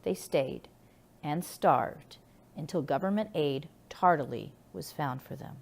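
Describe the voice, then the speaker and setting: A woman reading scripted nonfiction aloud like an audiobook, with measured, deliberate phrasing. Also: deep voice, lively intonation, vocal fry. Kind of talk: audiobook-style scripted narration.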